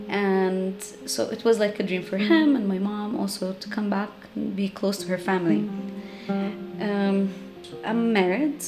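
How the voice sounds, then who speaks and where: A woman in her thirties, raised in Jordan, telling her family's story reflectively with many fillers. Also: mid-range voice. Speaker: interviewee. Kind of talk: personal storytelling. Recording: music playing under the voice.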